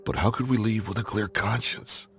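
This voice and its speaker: A man playing a gruff, loyal desert brigand in a video game, solemn and aggrieved, with a raspy voice. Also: lowish voice, steady tone, moderate pace, a bit bright. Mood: earnest, aggrieved. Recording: music behind the voice. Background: game music.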